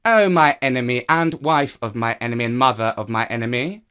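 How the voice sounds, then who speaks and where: A storyteller voicing a sly, proud, aloof cat in a children's tale, with a drawn-out, self-satisfied delivery. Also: medium pitch, fairly bright tone, clean tone, close to an everyday voice. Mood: triumphant.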